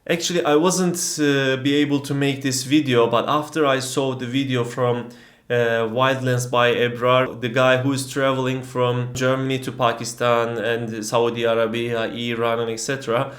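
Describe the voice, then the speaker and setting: Young Turkish travel vlogger, non-native English, filler-heavy storytelling, roomy echo. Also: male voice, medium pitch, little pitch movement, moderate pace, a bit bright. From Turkey. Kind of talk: casual storytelling vlog.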